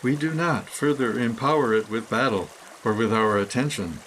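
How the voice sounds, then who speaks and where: A man reading a scripted, poetic guided meditation in measured, deliberate phrases with pauses. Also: mid-range voice, fairly bright tone, a little hiss or hum, low-quality recording. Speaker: guided meditation reader, author.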